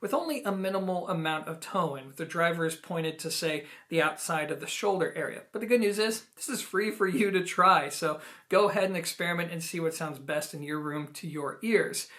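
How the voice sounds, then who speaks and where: Male reviewer, somewhat high voice, conversational and emphatic, speaking straight to viewers as host. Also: fairly quick, hardly any pauses, bright, forceful tone. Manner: conversational, emphatic opinions, addresses viewers directly. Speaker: audio gear reviewer and host. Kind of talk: video product review, explanatory talk to camera.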